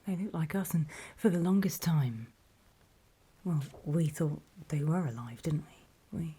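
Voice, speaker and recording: A woman playing a wary, paranoid zombie apocalypse survivor in a horror ASMR roleplay, in a soft breathy whisper with hesitant, confiding delivery. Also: low voice, exaggerated voice. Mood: hushed, wary warning. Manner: slow, hesitant, confiding, with pauses.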